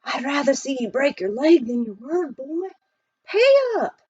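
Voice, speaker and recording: Good-natured frontier miner man played by a woman, folksy storybook drawl, animated pitch, slightly dull recording. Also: a little slow. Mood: firm, urging. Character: folksy male gold miner, teasing, good-natured, colorful. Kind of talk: children's storybook character voice.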